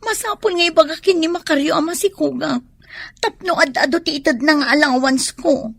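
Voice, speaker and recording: A naive, worried young student who is expecting in a radio drama. Her voice is high and girlish, with a pleading manner. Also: fairly quick, vocal fry. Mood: anxious. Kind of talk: Ilocano radio drama acting.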